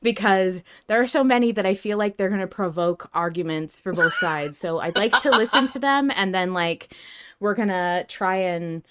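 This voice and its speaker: A woman's voice: medium pitch, normal speed, a bit bright, clear, resonant tone. A woman hosting a casual fan discussion podcast, talking in a chatty, opinionated way. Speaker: podcast host.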